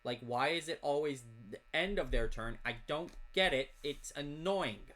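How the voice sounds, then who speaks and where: A man's voice: fairly high pitch, a bit bright. A man chatting casually while opening card packs, rambling from topic to topic and reading off card names. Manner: reads off card names in quick lists. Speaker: card unboxing host. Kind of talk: casual unboxing chat.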